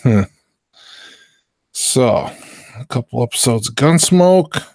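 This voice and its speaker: An American man hosting with casual, informal, unhurried chat. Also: mid-range voice, expressive, vocal fry, a little mellow, no echo, clean studio sound. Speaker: host.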